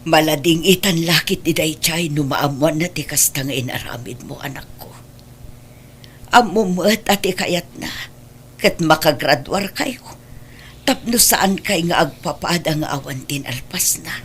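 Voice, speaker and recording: A woman playing a worried, sorrowful middle-aged mother in a Filipino radio drama, pleading and blaming herself in a husky voice. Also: low voice, animated, measured pace, slight background noise. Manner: pleading, lamenting.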